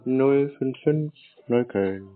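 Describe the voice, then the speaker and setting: Deadpan man playing dumb over phone. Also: mid-range voice, slow. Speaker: call recipient pranking a scammer. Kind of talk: prank phone call. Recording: tinny phone line.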